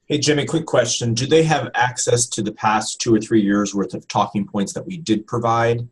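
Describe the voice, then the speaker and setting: A man's voice: mid-range voice, steady tone, moderate pace, husky. A man casually putting a question and suggestion to colleagues on a work conference call. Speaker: committee member.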